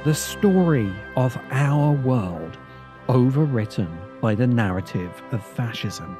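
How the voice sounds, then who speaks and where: A man's voice: medium pitch, animated, slow, music in the background. A man reading scripted narration as the narrator of a video essay.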